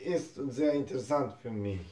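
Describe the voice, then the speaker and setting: A man from Japan who speaks German as a second language, answering interview questions in halting, broken German with many hesitations. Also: medium pitch, mellow, echoey room. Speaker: interview guest, football fan. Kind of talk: casual interview in non-native German.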